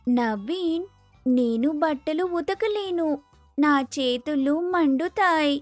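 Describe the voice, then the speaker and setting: A woman playing an outspoken, naive young North Indian bride with a Hindi accent, speaking haltingly and mixing in Hindi words. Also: high voice, animated, dry recording, soft background music. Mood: complaining. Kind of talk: dramatised moral story dialogue.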